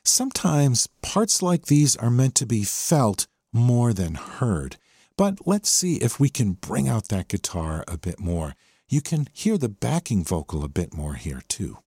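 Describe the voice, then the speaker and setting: A man presents a music podcast in a husky voice with lively, varied intonation. He explains things conversationally and at a measured tempo of thought. The sound is dry and close to the microphone. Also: mid-range voice, no echo. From USA.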